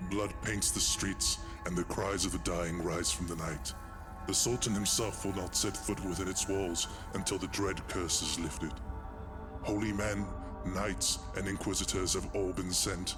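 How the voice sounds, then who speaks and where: An actor as a deep, solemn summoner over music. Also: male voice, lightly acted. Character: grave, trusting male patron summoning a warrior friend. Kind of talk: scripted dramatic game narration.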